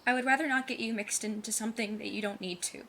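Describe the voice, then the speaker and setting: A woman playing a plucky, earnest fifteen-year-old witch's apprentice in an audio drama, with a husky voice and formal, precise wording. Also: on the high side, fairly even intonation, fast, pushed and bright, a little hiss or hum. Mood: guarded, resolute. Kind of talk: scripted audio drama acting.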